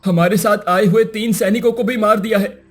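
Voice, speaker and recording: A man's voice: sits high, fairly even intonation, moderate pace. A man playing a wounded, desperate prince in a cartoon story, pleading urgently and dramatically. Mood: urgent, anguished. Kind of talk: Hindi cartoon moral story dialogue.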